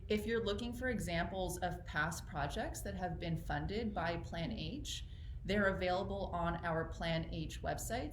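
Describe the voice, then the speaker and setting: A woman's voice: on the low side, reverberant, a little hiss or hum. A young woman coordinator hosting a live online Q&A about community grants, explaining in a friendly way with frequent ums. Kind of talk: livestreamed info session. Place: office room.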